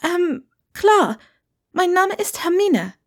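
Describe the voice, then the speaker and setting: Woman voicing a high-pitched, hesitant young witch. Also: very animated, slow pace, exaggerated voice. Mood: hesitant, awkward. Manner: hesitant, stammering. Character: young witch, curious, hesitant, puzzled time traveller. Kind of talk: audiobook character dialogue.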